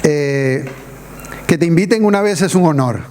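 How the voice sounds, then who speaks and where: A man's voice: a little high, moderate pace, darkish tone, a little hiss or hum. A middle-aged man from the Canary Islands, raised in Venezuela, preaching an evangelistic sermon as a guest pastor and firing rhetorical questions at the congregation.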